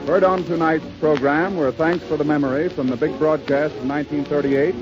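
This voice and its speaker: A man's voice: on the high side, fairly even intonation, a little fast, over loud music. A man with an American accent reading scripted announcements as a 1930s radio announcer. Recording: hissy, muffled 1930s radio recording.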